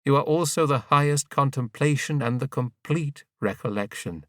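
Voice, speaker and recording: A man's voice: medium pitch, moderate pace, fairly bright tone, no echo, clean studio sound, recorded from a distance, lightly acted. A man voicing a creator god who prays in awe to a goddess, solemn and incantatory, in an audiobook. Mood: solemn praise.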